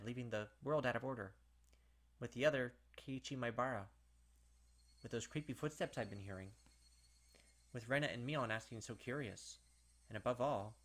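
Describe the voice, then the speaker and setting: A man's voice: mid-range voice, fairly bright tone. A man hosting a let's play, reading visual novel narration aloud and adding casual commentary. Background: game music.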